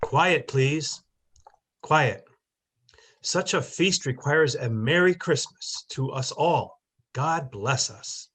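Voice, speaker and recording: A man playing a kindly, tender Victorian father, gentle and earnest, in a dramatic reading. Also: fairly high pitch, takes it slowly, slightly rough, heavily acted.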